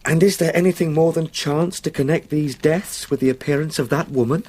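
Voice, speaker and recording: A young solicitor in a radio ghost story, polite and anxious, proper wording, kinda husky. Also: male voice, on the high side, a little fast, darkish tone. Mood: troubled, questioning. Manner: earnest, courteous, formal phrasing. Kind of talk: radio drama acting.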